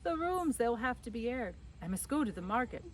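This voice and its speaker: A woman playing an excitable, high-pitched fretting mother. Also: fairly quick, a little hiss or hum. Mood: flustered. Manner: exclamatory, repetitive, fussing. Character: excitable fretful Italian mother, dramatic and scolding.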